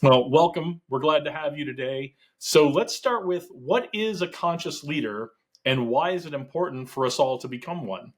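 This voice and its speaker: A man's voice: on the high side, animated, normal speed. Upbeat male podcast host. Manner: upbeat, enthusiastic. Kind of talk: podcast interview.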